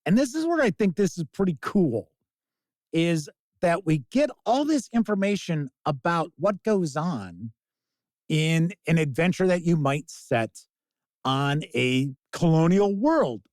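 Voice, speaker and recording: A man's voice: fairly high pitch, big pitch swings, a little slow, a little mellow, dry recording. A man giving a casual spoken review in an emphatic, opinionated, conversational way, recorded on a close microphone. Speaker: game reviewer and host.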